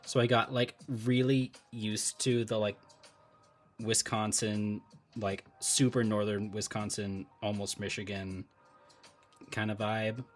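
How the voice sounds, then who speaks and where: A man with a fairly low voice chats loosely on a live stream, thinking aloud as he works and breaking into laughs as he talks to his viewers. Faint music plays quietly behind him. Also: flat delivery, slow. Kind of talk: casual live-stream chat while drawing.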